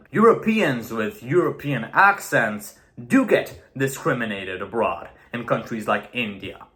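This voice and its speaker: Young Romanian-native man, middle pitch, candid video-essay monologue, swears for emphasis. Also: a bit bright. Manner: earnest and blunt, swears for emphasis. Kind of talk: opinionated monologue to camera.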